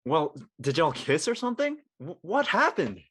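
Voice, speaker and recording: A man's voice: on the high side, very animated, normal speed, a bit bright, breathy edge, heavily acted. An actor playing a prying, contrarian teenage boy in casual, slangy drama dialogue. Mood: prying, incredulous.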